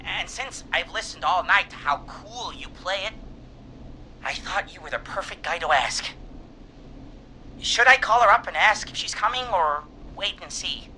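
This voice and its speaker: Bright-voiced, dreamy lovesick young caller. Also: male voice, high-pitched, lively intonation, normal speed, slight background noise, heavily acted, low-quality recording. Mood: hopeful, lovesick. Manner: dreamy, sappy. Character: lovesick, earnest, naive young man caller.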